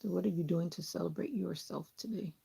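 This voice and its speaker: Woman, southern us accent, low husky voice, gravelly, chatty vlogger. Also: brisk, mellow. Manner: chatty, laughs often, talks while trimming hair. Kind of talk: casual chat with live viewers.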